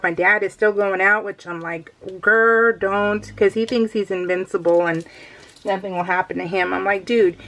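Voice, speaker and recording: A middle-aged woman talks in a casual, chatty voice-over for a craft vlog. She has a medium-pitched voice and a giggly, animated way of telling stories, often acting out what was said. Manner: giggly, animated storytelling with quoted exchanges. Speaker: craft vlogger.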